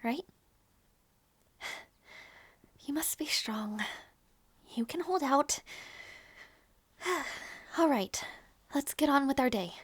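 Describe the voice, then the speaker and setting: A woman playing a gentle young princess in an intimate audio roleplay, devoted and emotional, with a breathy, halting delivery. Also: high voice, animated, no echo. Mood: wistful, subdued. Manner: breathy, halting, stammering, often near whisper.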